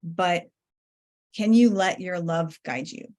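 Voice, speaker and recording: A woman from Iran in her thirties hosts a video call interview from her home, speaking in a lowish voice. She talks in an affirming, flowing way, full of "like" and "right?". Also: slow. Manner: warm, affirming, many "like"s. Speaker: podcast host. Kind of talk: conversational interview. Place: home room, indoors.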